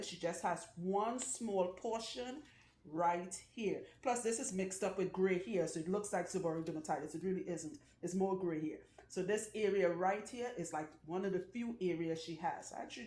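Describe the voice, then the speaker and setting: A woman's voice: fairly low pitch, darkish tone, a little hiss or hum. A woman loc stylist hosting her channel, chatting casually and jokingly with viewers while she works on a client's hair in a salon room. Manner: chatty, jokey, outspoken. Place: indoor salon room with a couch. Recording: roomy indoor sound.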